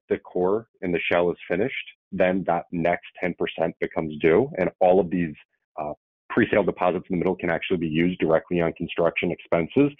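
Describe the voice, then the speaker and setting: A very low-voiced man explains how a project loan is repaid, steady and businesslike with a few fillers. His voice comes through a narrow, phone-like line with no room sound. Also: no room sound. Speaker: co-presenter explaining loan structure. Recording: dry, phone-like narrow audio.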